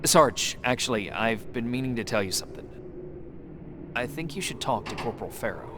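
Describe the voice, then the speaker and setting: An actor playing an earnest, candid resistance soldier who speaks respectfully to superiors in a video game. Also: male voice, mid-range voice, lively intonation, moderate pace, background noise, natural voice. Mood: concerned. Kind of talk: dramatic cutscene acting.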